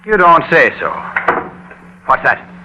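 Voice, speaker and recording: A man's voice: a little high, huge pitch swings, takes it slowly, full character voice. An actor playing a dogged, resolute policeman in a 1950s radio drama, tense and intent. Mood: wry, suspicious. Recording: dull, faintly noisy old AM radio broadcast.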